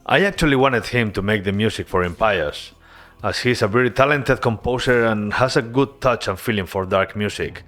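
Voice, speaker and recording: A man narrating his game-development devlog as a voice-over, earnest and relaxed, with casual asides. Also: fairly low pitch, normal speed, soft background music.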